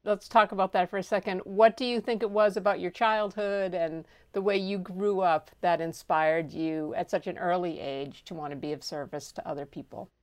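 A woman co-hosting a podcast, asking a guest a quick, curious question. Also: on the low side, fairly even intonation, a little mellow. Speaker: podcast co-host. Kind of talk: interview question.

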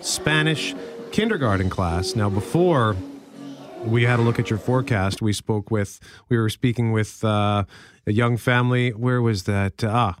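A man's voice: on the low side, measured pace, no room sound, soft background music. A man co-hosting a live radio show, talking in a casual, conversational way. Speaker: radio co-host.

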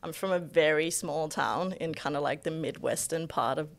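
A woman's voice: sits low, moderate pace, vocal fry. A young woman from Denmark with a Danish accent, chatting casually as a podcast guest and laughing often. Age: young adult. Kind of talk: casual interview chat.

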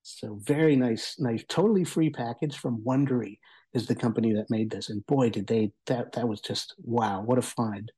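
A man's voice: mid-range voice, moderate pace, mellow, husky. A man giving an enthusiastic, rambling conversational review as a guest on a talk show. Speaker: guest reviewer of audio entertainment.

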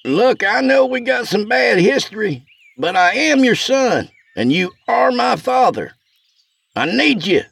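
Hapless storybook country man, whiny and pleading, high creaky pitch. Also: sing-song, a little slow, a bit bright, no room sound, exaggerated voice.

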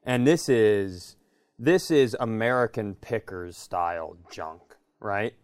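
A man's voice: fairly low pitch, lively intonation, slow, dry recording, clean studio sound. A man co-hosting a comedy podcast, telling stories casually and riffing in a conversational way. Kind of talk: casual comic storytelling.

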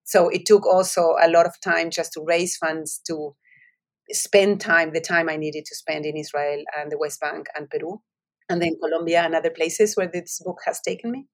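A woman's voice: sits low, steady tone, normal speed. Argentine woman with a Spanish accent. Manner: reflective storytelling with frequent restarts. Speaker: author. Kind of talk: interview answers.

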